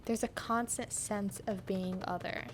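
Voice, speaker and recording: A woman's voice: mid-range voice, steady tone, measured pace, over loud music. A young woman hosting a podcast, telling her story in a reflective, scripted narration. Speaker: podcast host.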